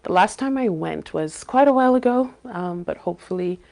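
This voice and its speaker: A woman who grew up in Saudi Arabia, a podcast guest speaking thoughtfully and pausing often to search for words. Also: fairly low pitch, animated, gravelly, darkish tone. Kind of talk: casual interview talk.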